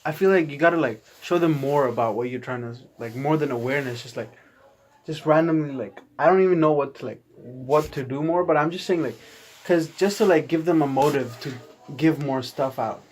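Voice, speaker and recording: A man's voice: fairly high pitch, fairly quick. A young man from Saudi Arabia, talking casually as a podcast guest, loose and rambling with lots of "like". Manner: loose, rambling, many likes. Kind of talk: casual chat.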